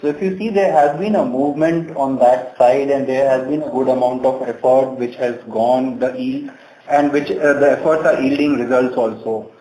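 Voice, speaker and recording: A man's voice: mid-range voice, steady tone, moderate pace, warm tone. A man with an Indian accent speaking as a company executive, explaining answers on a conference call. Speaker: bank executive answering questions. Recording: roomy conference call, faint noise.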